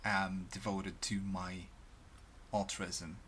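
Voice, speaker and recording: A man's voice: sits low, flat delivery, slow pace, a bit bright, slight background noise. A man in his thirties with a Swedish accent, thinking aloud in a personal monologue to camera, with many fillers and restarts, speaking into a headset at home. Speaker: vlogger. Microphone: headset, webcam framing.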